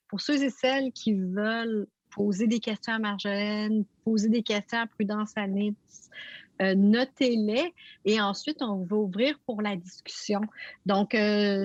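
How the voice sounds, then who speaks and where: A woman professor with a Quebec French accent, moderating a panel in a hesitant, halting way. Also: medium pitch, no room sound, muffled recording. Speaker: moderator, art history professor.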